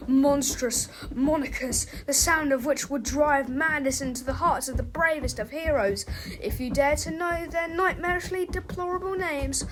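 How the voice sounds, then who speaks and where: A woman's voice: sits high, a bit bright, a little hiss or hum, rough recording. A woman reading a children's storybook aloud as narrator, in a dramatic, theatrical manner.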